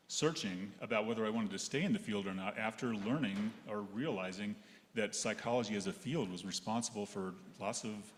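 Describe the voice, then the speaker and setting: Middle-aged male, lower register, wry hesitant church speaker, long room reverb, some background noise. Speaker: psychologist giving a personal reflection at church. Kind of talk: prepared personal reflection to a congregation. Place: large echoey indoor church space, seated. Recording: echoey hall with noticeable background noise.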